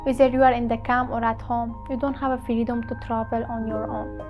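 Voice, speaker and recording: 18 y/o woman, kinda high voice, earnest, music playing under her. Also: level tone, fairly quick, dull audio. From Afghanistan. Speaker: refugee. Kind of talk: personal testimony. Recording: music bed behind.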